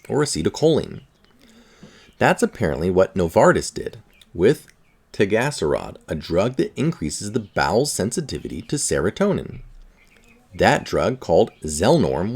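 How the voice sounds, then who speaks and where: A man's voice: lowish voice, a little mellow, husky. A man reading a science article aloud, adding informal asides as he goes. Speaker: article reader.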